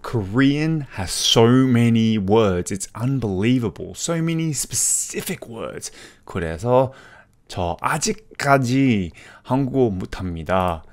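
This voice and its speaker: A male teacher and native English speaker walks learners through a language point in an explanatory style. His mid-pitched voice moves up and down in a lively way. He switches between English and Korean as he gives translations. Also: fairly quick.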